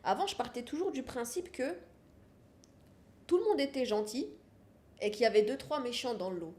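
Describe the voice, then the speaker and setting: A woman's voice: on the high side, expressive, normal speed, a little mellow. A woman from France, a podcast guest, talking frankly in a casual interview and repeating her phrases for emphasis.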